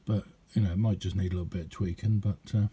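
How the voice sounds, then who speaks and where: A man giving a casual, rambling hands-on demonstration as a radio hobbyist, chatty and hesitant in an unhurried way. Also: low-pitched, steady tone, mellow, slightly rough.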